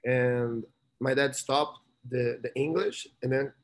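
A young man from Brazil with a Brazilian Portuguese accent, speaking halting English as an interview guest. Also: medium pitch, fairly even intonation. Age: young adult. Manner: halting, searching for words. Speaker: interviewee, college swimmer. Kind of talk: casual interview talk.

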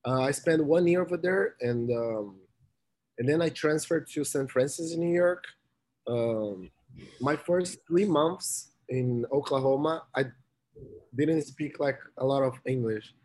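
A man's voice: a little high, a little mellow, rough recording. Young brazilian guy, accented english, stops a lot to find words. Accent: Brazilian Portuguese. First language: Portuguese. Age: young adult. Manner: halting, searching for words. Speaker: interviewee, college swimmer. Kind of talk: casual interview talk.

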